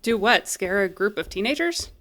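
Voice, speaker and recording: An actress playing a brash, quick-witted woman in an audio drama, sarcastic and bossy. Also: medium pitch, big pitch swings, a bit bright. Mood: breezy. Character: brash, quick-witted woman, bluffing repairperson and romance writer.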